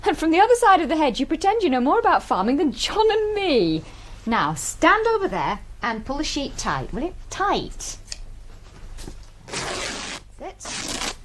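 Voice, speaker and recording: Brisk, lively English farmer's wife. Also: female voice, high voice, a little hiss or hum. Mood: brisk, argumentative. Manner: brisk, bossy, articulate. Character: brisk, warm, strong-willed farmer's wife, socially anxious hostess. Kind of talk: period radio drama acting.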